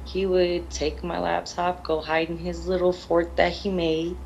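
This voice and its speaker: Low-pitched grieving woman, gravelly voice. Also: little pitch movement, measured pace, a little mellow, muffled recording, slight background noise. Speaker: interviewee, grieving mother. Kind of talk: emotional television interview.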